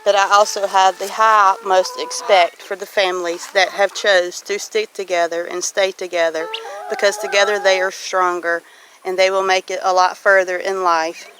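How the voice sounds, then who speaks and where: Plainspoken woman with clear outdoor noise behind. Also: mid-range voice, flat delivery, moderate pace, fairly bright tone, low-quality recording. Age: middle-aged. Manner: plainspoken, folksy, reflective. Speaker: homesteading vlogger. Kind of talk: casual question-and-answer vlog monologue. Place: outdoors on a farm homestead. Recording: outdoor noise with clicks, farm geese honking. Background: farm animals, geese honking.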